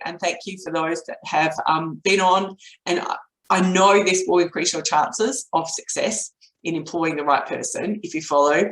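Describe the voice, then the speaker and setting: A middle-aged woman with an Australian accent and a voice croaky from a cold, explaining steps as a business coach in a webinar. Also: lowish voice. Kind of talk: explanatory webinar talk with step-by-step recap. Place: indoor home office, at a desk. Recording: roomy, echoey webcam audio.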